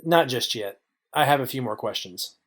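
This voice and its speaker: A man's voice: mid-range voice, moderate pace, natural voice. A man playing a dry, wry, hardboiled private detective, narrating and questioning in film noir style. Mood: dry, probing. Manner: deadpan, wry.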